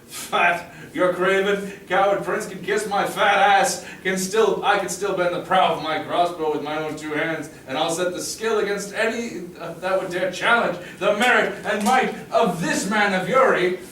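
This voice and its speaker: A man's voice: sits high, moderate pace, few pauses, a bit bright, slight background noise. A man voicing a proud, boastful aging hero at a table read, declaiming in a roomy room with a distant mic. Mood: defiant.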